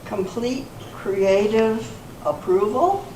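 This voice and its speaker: A woman's voice: on the high side, slow pace, mellow. A woman acting in a readers theater piece as an older lady with a questioning, incredulous manner. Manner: questioning, echoing words back. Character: incredulous older lady being courted as calendar model. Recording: echoey hall from far off, faint noise.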